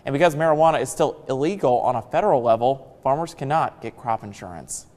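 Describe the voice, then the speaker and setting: A man's voice: mid-range voice, lively intonation, fast, darkish tone, big-room sound. A man with an American accent anchoring a scripted television newscast. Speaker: news anchor.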